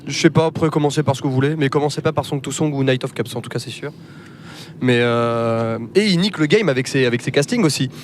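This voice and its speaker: A man's voice: mid-range voice, fast, slight background noise. A young man chatting casually on a podcast, enthusiastic but hesitant, reeling off lists from inside a moving car. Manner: enthusiastic, list-making, hesitant. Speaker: podcast co-host. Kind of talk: casual chat.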